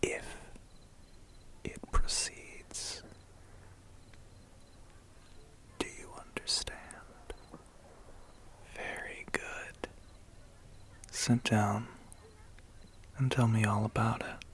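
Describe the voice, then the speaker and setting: A man voicing a suave, menacing vampire biker in an ASMR roleplay, slow and teasing with a breathy, gravelly half-whisper. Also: lowish voice, flat delivery, noisy background. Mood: quiet menace. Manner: slow, teasing, quietly threatening.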